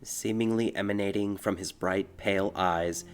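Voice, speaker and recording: A man giving a theatrical, scripted narration of a dark fairy tale as an audiobook. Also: fairly low pitch, fairly even intonation, moderate pace. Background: music.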